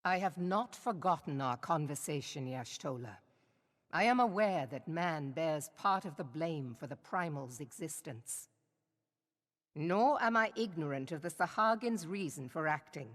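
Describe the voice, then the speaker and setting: A commanding admiral in a fantasy game. Her voice is low for a woman and rings with long reverb. She speaks firmly and formally, resolute about protecting her people. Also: animated. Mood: firm, defiant. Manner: firm, formal, measured oratory. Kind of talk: dramatic fantasy game acting.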